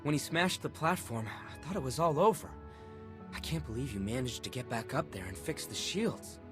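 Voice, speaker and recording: An actor playing an earnest, admiring young comrade in a video game, open in manner. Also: male voice, mid-range voice, expressive, moderate pace, over music, lightly acted. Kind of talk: scripted video game acting.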